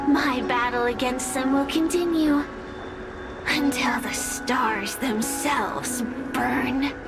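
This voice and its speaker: Haughty, defiant fire spirit, high voice, slow theatrical game delivery, loud music underneath. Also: female voice, full character voice, rough recording. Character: proud defiant ancient fire spirit, villain. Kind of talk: video game voice acting.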